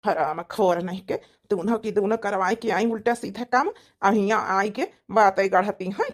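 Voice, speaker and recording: A man's voice: sits high, normal speed. A man voicing a worried, rambling village husband in a cartoon comedy, with an Awadhi accent. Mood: troubled muttering. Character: worried eldest son, a flustered village husband.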